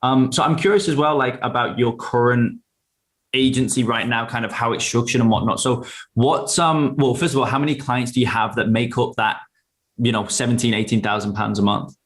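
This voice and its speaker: Male interview host, mid pitch, relaxed and agreeable, easy conversational questions. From England, raised in Australia. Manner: relaxed, agreeable, quick affirmations. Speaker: interview host, business coach. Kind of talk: casual interview chat.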